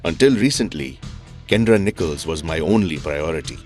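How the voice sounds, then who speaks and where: A very deep male voice with faint music behind it. a middle-aged psychiatrist in an audio drama, reserved and courteous, with formal, measured phrasing. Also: expressive, darkish tone. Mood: reflective. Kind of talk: conversational audio drama acting.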